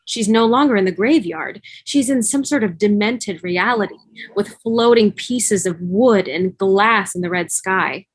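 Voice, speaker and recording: A woman's voice: medium pitch, measured pace, slightly rough. A woman reading scripted stage directions as narrator of a fan table read over a video call. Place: remote video call, music behind.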